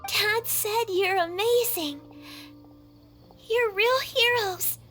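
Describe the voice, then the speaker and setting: A woman's voice: very high voice, drawn-out words, a bit bright, faint music behind, heavily acted. A woman voicing a sweet, admiring little child in a video game, with a simple childlike way of talking.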